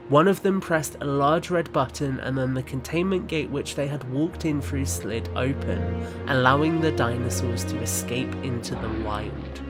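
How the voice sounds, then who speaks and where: A man reading scripted third-person story narration for an audio drama. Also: medium pitch.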